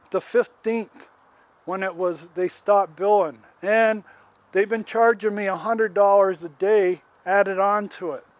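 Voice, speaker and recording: A man's voice: sits high, no echo. A man in his seventies filming himself outdoors around his yard on a handheld phone, airing an aggrieved complaint in a plain-spoken, rambling way with restarts. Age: 70s. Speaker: homeowner. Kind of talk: casual complaint vlog, filming as he walks.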